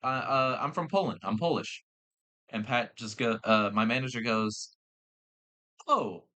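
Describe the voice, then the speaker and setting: A young man with a Southern accent who works in fast food, telling a work story in casual chat. He swears often, laughs a lot and acts out the people he quotes. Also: medium pitch, pushed and bright. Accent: American Southern. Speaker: podcast co-host.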